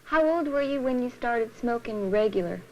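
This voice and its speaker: Higher-voiced woman interviewer. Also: animated, normal speed, highs cut off. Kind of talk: plain documentary interview questioning. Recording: 1970s film interview, faint noise.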